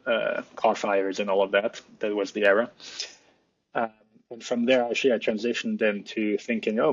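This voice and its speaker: A man's voice: lowish voice, vocal fry, fairly bright tone, no echo, lo-fi sound. A man from France, a guest architect, talking in a hesitant, thoughtful way with many restarts over a remote call. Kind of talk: casual interview talk.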